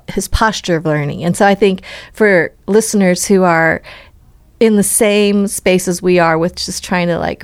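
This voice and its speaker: A woman's voice: fairly low pitch, dry recording. A woman from the United States hosting a podcast, chatting casually and affirming her guest often. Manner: warm, affirming, laughs often. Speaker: podcast host. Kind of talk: casual interview chat.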